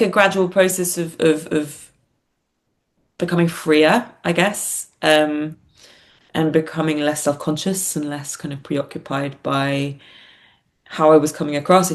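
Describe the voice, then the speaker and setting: A woman talking reflectively as an interview guest, hesitant, thoughtful and self-effacing. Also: deep voice. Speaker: podcast guest, playwright and performer.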